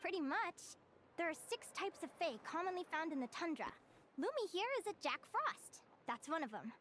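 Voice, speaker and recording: An actress playing a cheerful young woman who studies creatures, telling her story in a lively, friendly way. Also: very high-pitched, moderate pace, slight background noise, heavily acted. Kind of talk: video game voice acting.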